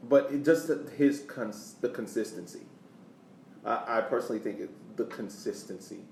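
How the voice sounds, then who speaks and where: A man co-hosting a sports podcast, explaining soccer history casually in a halting way, repeating phrases for emphasis. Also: mid-range voice, darkish tone. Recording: roomy, distant mic, faint noise.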